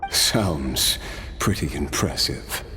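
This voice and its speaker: A man's voice: very deep voice, animated, takes it slowly, noisy background, heavily acted. A man playing a wry, reflective, battle-hungry immortal warrior in a video game. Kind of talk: dramatic video game voice acting.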